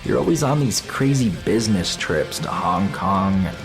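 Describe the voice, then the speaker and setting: A man's voice: low-pitched, lively intonation, moderate pace. An actor playing a jealous, insecure young male guitarist in an audio drama, teasing and needling his girlfriend. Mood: self-deprecating. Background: live band music.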